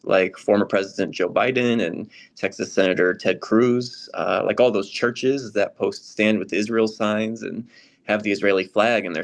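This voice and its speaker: A man's voice: fairly low pitch, gravelly. A man playing a troubled, outspoken American churchgoer in a readers theater conversation, speaking frankly and firmly but with respect. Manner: frank, firm, emphatic.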